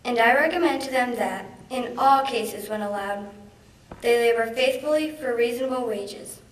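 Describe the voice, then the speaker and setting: A young woman reads a proclamation aloud in a formal style. Her voice is high and reaches the microphone from afar through an echoey hall. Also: moderate pace. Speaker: student reader. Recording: echoey hall, distant mic.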